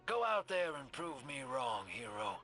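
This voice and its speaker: A man's voice: medium pitch, sing-song, a little slow, bright, forceful tone, faint music behind. An actor playing a weary male frontier scavenger with a drawling, folksy, reflective manner. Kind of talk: video game voice acting.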